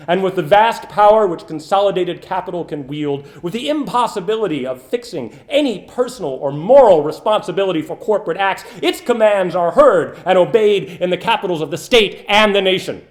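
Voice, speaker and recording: A man re-creating a formal 1890s political speech as an orator, emphatic and declamatory, posing rhetorical questions. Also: sits high.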